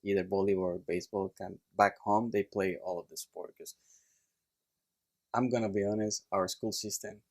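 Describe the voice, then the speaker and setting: A man from Puerto Rico with a Puerto Rican accent, a chiropractor guest telling his story in a casual interview, relaxed and plain with fillers. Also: low-pitched, normal speed, dry recording. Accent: Puerto Rican Spanish.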